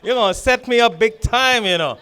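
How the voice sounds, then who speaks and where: A man's voice: very high-pitched, brisk, bright, forceful tone, slight background noise. A man hosting an event, joking and chatting as he introduces speakers. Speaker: event host. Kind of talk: emcee banter.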